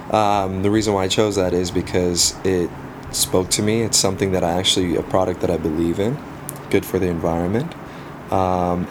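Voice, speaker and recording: A man in his thirties with a South African accent, a native Afrikaans speaker, talking casually as an interview guest with relaxed pauses and frequent ums. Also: deep voice, noisy background. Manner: relaxed, frequent ums, self-deprecating humor. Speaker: guest, photographer.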